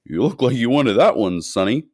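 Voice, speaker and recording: A man voicing an excitable, kindly fat male shopkeeper who shouts with delight, in a storybook reading. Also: medium pitch, normal speed, dry recording, clean studio sound. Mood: pleasant.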